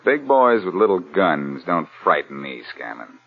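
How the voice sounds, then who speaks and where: A man's voice: deep voice, very animated, unhurried, vocal fry, slightly rough, exaggerated voice. An actor playing a cool, wisecracking male adventurer in a dry, flippant 1940s radio style. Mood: cool, guarded. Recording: muffled old-time radio recording.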